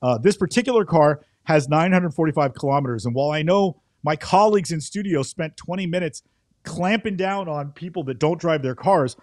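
Higher-voiced male podcast host, close and dry. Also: expressive, fairly quick, a little mellow, no room sound. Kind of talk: conversational car auction recap. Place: indoor home studio. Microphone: close boom studio microphone.

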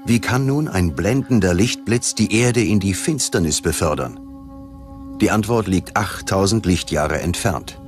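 Guy doing dramatic documentary narration, lowish voice, music under it, measured delivery. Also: lively intonation.

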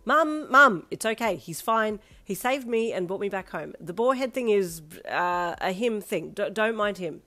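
A woman voicing an emotional, exasperated young heroine in a story reading, expressive and easily flustered. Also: high-pitched, takes it slowly, no echo, pitched above the performer's own voice.

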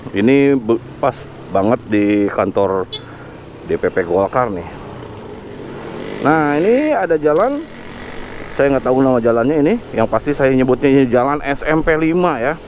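A man's voice: medium pitch, expressive, moderate pace, darkish tone. A man hosting a motovlog, casually narrating the streets while riding a scooter, thinking aloud with fillers. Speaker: motovlog host. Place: outdoors on a motor scooter in town traffic. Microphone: helmet or body camera mic. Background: faint traffic and engine noise.

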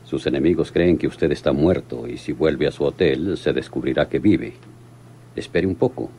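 A veteran police lieutenant with an extremely deep voice. He is calm, formal and authoritative in old radio-drama style, over faint noise. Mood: calm, cautioning. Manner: formal, measured. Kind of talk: old-time radio serial acting.

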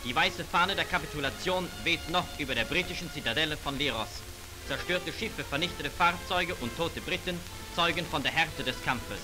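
A man's voice: a little high, normal speed, low-quality recording. A man narrating a wartime propaganda newsreel in a formal, declamatory manner, on an old 1940s film soundtrack. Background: loud music, war sound effects.

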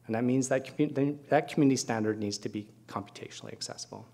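Male, middle-aged, mid-pitched voice, academic keynote speaker, explanatory, conversational asides. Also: quick pace, gravelly, warm tone. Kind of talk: academic conference presentation. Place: lecture hall at a podium, indoors.

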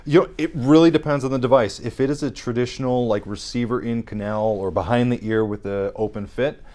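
A man in his thirties, a hearing scientist guest, calmly explaining technical lab procedures in an interview on a studio set. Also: medium pitch, a little fast. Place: dark studio set with armchairs.

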